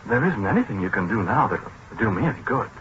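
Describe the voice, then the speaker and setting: A man's voice: lowish voice, big pitch swings, quick pace. An actor playing a washed-up, hesitant, wistful man who stammers, in a 1940s radio drama. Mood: resigned, wistful. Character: washed-up, flustered man confiding in his machine. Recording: muffled, noisy 1940s radio recording.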